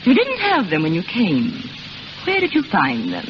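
An actress playing a haughty, accusing young society woman in 1930s radio drama, sharp and disdainful, on an old recording. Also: medium pitch, wildly swooping pitch, moderate pace, highs cut off, background noise. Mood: scornful accusing.

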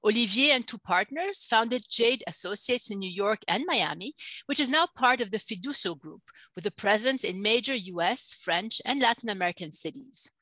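A woman's voice: mid-range voice, lively intonation, moderate pace, sharp tone, dry recording. A woman, director of a business organization, reading a formal scripted introduction. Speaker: chamber of commerce director.

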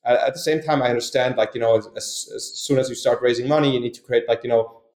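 A man's voice: mid-range voice, steady tone, quick pace, a little mellow. Swiss guy rambling thru interview answers, lots of "like, you know", roomy echo. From Switzerland. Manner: rambling, many fillers and restarts. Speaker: guest, company co-founder. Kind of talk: casual podcast interview answers.

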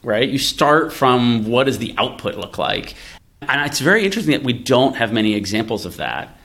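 A man's voice: medium pitch, sing-song, normal speed, fairly bright tone. A man hosting a casual tech discussion, explaining ideas by thinking aloud and posing rhetorical questions. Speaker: podcast host, venture investor.

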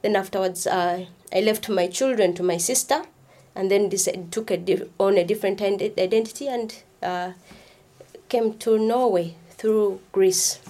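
A woman recounts her past in an interview. She speaks haltingly, pausing often and filling gaps with ums. Her voice sits at an ordinary middle pitch. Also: warm tone. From Uganda. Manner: hesitant, many pauses and fillers. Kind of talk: personal testimony.

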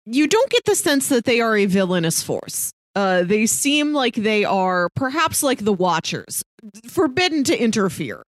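A woman's voice: fairly high pitch, sing-song, unhurried, dry recording. Dry-sounding, casual woman podcaster. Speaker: podcast co-host. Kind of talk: casual TV show discussion.

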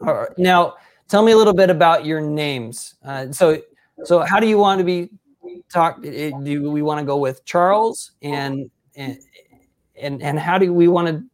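A man's voice: fairly high pitch, normal speed. A man hosting a casual sports interview, upbeat and effusive in his praise of his guest. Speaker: interview host.

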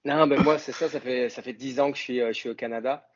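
Man, northern French accent, middle pitch, hesitant casual talk, muffled sound. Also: steady tone, fast, crowd noise. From northern France. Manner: hesitant, many fillers and restarts. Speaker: podcast guest, team fan. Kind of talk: casual podcast chat.

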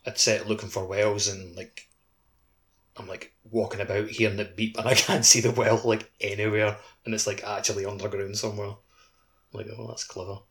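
A man's voice: on the low side, fairly bright tone. A man co-hosting a podcast in casual chat, rambling along with a lot of filler words. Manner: rambling, heavy use of "like".

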